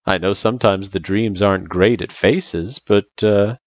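A man's voice: lowish voice, unhurried, no echo, muffled recording, natural voice. A man voicing a laid-back, teasing, reassuring buddy with a casual, joking delivery in a story reading. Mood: casual comfort. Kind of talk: character voice in story narration.

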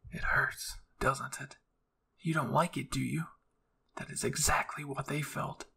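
A man voicing a livid, wounded young woman warrior out for revenge, menacing and deliberate, in a story reading. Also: mid-range voice, little pitch movement, vocal fry, sharp tone, a little hiss or hum. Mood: cold, vengeful.

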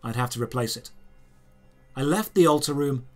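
A man narrating a scripted audio drama in the first person, in measured, deliberate phrases. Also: mid-range voice, soft background music. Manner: measured, storytelling delivery in short deliberate phrases.

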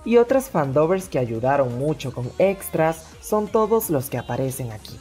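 A woman's voice: sits low, big pitch swings, moderate pace, warm tone. A young adult woman narrating a scripted vlog addressed to fans, as a fandub creator. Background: background music.

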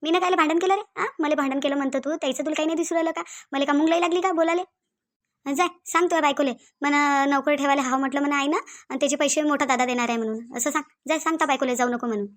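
A woman's voice: high voice, fairly even intonation, rapid-fire, natural voice. A woman with a Varhadi Marathi accent voicing a quarrelsome, grudging mother-in-law in a cartoon family drama, scolding and accusing with pointed questions.

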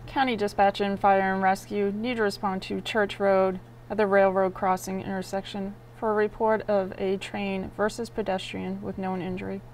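A woman's voice: medium pitch, level tone, normal speed, hardly any pauses, a little mellow, lightly acted. A woman playing a matter-of-fact emergency dispatcher relaying a call in radio dispatch style. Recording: noisy dispatch audio.

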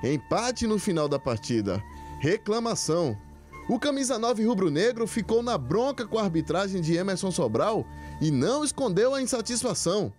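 Lively high-voiced male sports reporter. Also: moderate pace, faint music behind. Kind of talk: scripted news narration.

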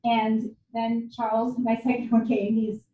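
A woman's voice: fairly high pitch, completely flat delivery, a little slow. Candid woman lecturing in an echoey hall. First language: Japanese. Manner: candid, conversational, self-deprecating. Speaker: guest lecturer. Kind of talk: university lecture talk. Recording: echoey hall, dull lo-fi sound.

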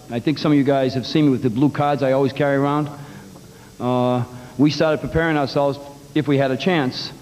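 Emphatic coach at a press conference. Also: male voice, mid-range voice, darkish tone. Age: middle-aged. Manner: blunt, emphatic, explains plans step by step. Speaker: head basketball coach. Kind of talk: postgame press conference answers. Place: press conference room in a large arena. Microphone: table microphone. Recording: live TV broadcast with long hall reverb.

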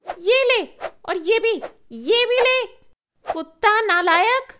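A woman's voice: very high-pitched, expressive, takes it slowly, background noise, full character voice. A woman voicing a bold young girl fighter who scolds her opponent in a children's doll story. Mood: fierce, scolding. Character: feisty fighting young girl, martial arts expert.

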